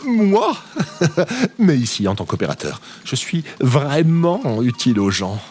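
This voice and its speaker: A man's voice: medium pitch, takes it slowly. A man playing an exuberant, naive former president turned happy phone operator in a comic audio drama, gushing theatrically. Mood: elated, gushing. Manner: gushing, theatrical, wildly animated. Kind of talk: audio drama comedy acting. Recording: faint background noise.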